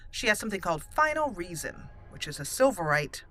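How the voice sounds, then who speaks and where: Bright-voiced woman doing game commentary. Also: on the low side, very animated, a little fast. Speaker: gaming channel host. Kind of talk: roleplay let's play commentary.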